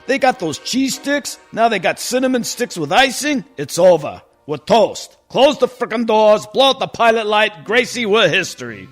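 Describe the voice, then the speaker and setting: An actor playing a stressed, irritable Italian-mob boss in a comedic radio play, blustering and hesitant. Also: male voice, high-pitched, very animated, normal speed, few pauses, faint music behind. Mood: panicked despair. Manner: blustering, hesitant, peppered with mild swearing. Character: stressed, irritable Italian-American mob boss who secretly runs pizzeria.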